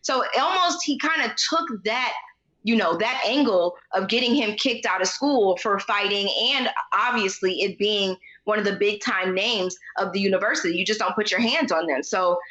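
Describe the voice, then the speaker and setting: A woman's voice: mid-range voice, moderate pace, a bit bright. A woman co-hosting a casual TV recap discussion in colloquial, slangy speech, on slightly dull, lo-fi audio. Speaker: podcast co-host.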